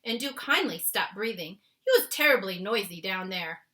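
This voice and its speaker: A woman voicing a big friendly male giant from a children's storybook, excitable and emphatic, with quaint muddled grammar. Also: medium pitch, normal speed. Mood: firm, hushing. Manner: quaint muddled grammar with invented nonsense words; exclamatory, emphatic delivery.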